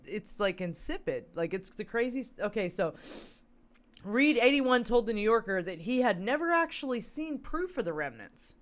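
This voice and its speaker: Brief: woman co-host, hoarse from a long talk, casual and rambling, fillers and throat clearing. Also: on the high side, sing-song, moderate pace. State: hoarse, losing voice. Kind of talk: casual chat, reading news aloud.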